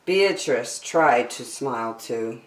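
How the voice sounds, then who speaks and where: A woman's voice: extremely low pitch, takes it slowly. A woman hosting a children's story time, reading a picture book aloud expressively. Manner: expressive, addresses listeners as friends. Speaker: storytime host. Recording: roomy indoor echo.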